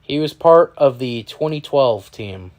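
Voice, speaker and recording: A man's voice: mid-range voice, a little slow. A man, co-hosting a casual sports podcast and exclaiming often. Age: 30s. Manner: excitable, exclaiming. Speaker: podcast co-host.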